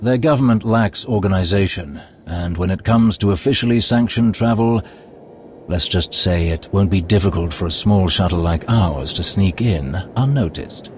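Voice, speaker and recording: An actor playing a smooth, scheming male Sith lord who speaks in a courtly, ingratiating, measured way. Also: sits low, a little hiss or hum, close to an everyday voice. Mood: suave. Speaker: Imperial schemer. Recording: game cutscene over loud music.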